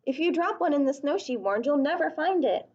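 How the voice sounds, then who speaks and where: A woman's voice: high-pitched, expressive, brisk, darkish tone, lo-fi sound. A woman reading a picture book aloud to young children, speaking expressively and putting questions to her listeners.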